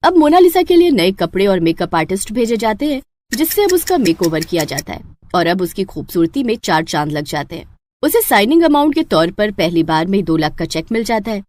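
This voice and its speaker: Female storyteller, scripted moral tale, expressive, very lively intonation, medium pitch. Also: brisk.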